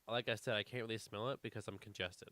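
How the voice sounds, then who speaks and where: A man's voice: fairly low pitch, fairly even intonation, fast, bright, forceful tone. A man co-hosting a casual podcast, rambling with lots of fillers, a little congested. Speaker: podcast co-host. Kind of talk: casual chat.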